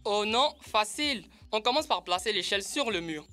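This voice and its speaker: A confident child in a children's cartoon. The voice is medium in pitch and bright, with faint music behind. The kid sounds practical and assertive. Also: female voice, lively intonation, normal speed. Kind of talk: animated children's cartoon dub.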